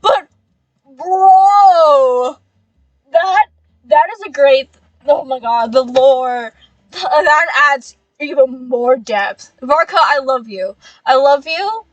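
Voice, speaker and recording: A woman casually reacting to a story game as she plays, excitable and exclamatory, with casual swearing. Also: high-pitched, slow pace, no room sound, lo-fi sound. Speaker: game streamer.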